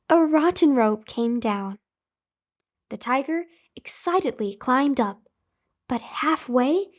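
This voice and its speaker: A woman narrating a scripted children's bedtime story as host, with careful, expressive enunciation. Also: high voice.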